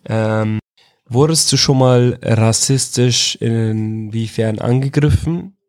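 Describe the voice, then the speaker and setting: A man's voice: mid-range voice, slow, darkish tone, no room sound. A male interviewer who grew up in Iraq asks his questions in an informal way. He often circles back and rephrases a question several ways. His voice sits in a middle register and sounds dry and close. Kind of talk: casual youth interview.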